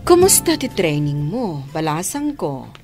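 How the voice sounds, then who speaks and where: An actress with an Ilocano accent playing a gentle, devout religious sister who comforts and counsels in a radio drama. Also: female voice, sits low, wildly swooping pitch, measured pace, loud music behind the voice, heavily acted. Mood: kindly.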